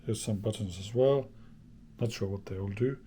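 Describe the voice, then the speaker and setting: A man's voice: on the low side, moderate pace, noisy background. A man giving an informal, unscripted hands-on demonstration, thinking aloud in a hesitant way as he explains. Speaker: host of a vintage radio repair channel.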